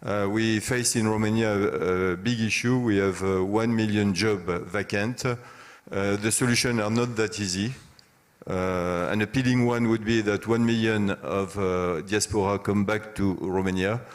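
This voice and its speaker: Male business executive, lower register, hesitant unscripted panel remarks with frequent gaps. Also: fairly even intonation. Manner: hesitant, halting delivery with long pauses. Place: business conference.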